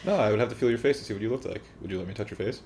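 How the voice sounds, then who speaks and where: Low-voiced twenties guy; dry, understated wit, a bit off-mic, faint hiss behind. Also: rapid-fire. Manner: low-key, dry, quiet, joking. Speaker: guest, host's boyfriend. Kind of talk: casual podcast chat. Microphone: further from the mic, quiet.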